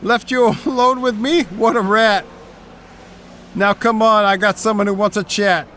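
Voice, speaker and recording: A woman's voice: medium pitch, fairly even intonation, a little slow, a bit bright, slight background noise, natural voice. A woman playing a jumpy, menacing gangster in a noir audio drama, slangy and taunting.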